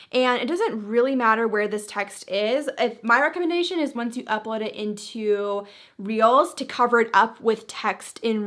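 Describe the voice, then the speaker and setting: A woman's voice: on the high side, a bit bright. A woman hosting a social media tutorial, talking casually and chattily through each step.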